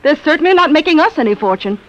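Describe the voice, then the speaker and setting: A woman's voice: sits high, big pitch swings, fast. A woman playing a sardonic, needling housewife in a 1950s radio drama. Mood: scornful. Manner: needling, scornful. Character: sardonic, nagging housewife and mother. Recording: dull, noisy old radio recording.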